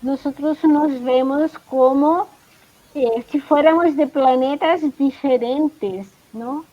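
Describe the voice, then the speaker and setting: A woman's voice: high-pitched, takes it slowly, lo-fi sound. A Chilean woman with a Chilean Spanish accent, a professor speaking as a guest in a casual conversation, thoughtful and often hesitant as she restarts her phrases.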